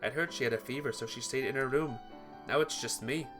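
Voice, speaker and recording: A man's voice: mid-range voice, brisk, over music. A man reading visual novel text aloud as dramatic, earnest, emotive story narration for a playthrough.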